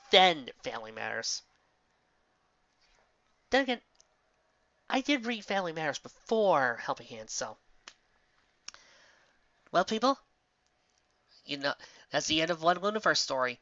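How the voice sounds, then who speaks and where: Bright, animated woman reviewer, dull recording. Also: low-pitched, no room sound. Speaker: fan fiction reader and reviewer. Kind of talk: casual review commentary to an audience. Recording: dull lo-fi dry close recording.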